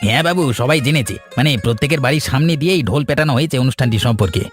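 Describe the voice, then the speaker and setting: A man's voice: medium pitch, expressive, very fast, natural voice. A man voicing an eager, deferential assistant to a village headman in a cartoon, over music. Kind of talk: cartoon voice acting. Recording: music behind the voice.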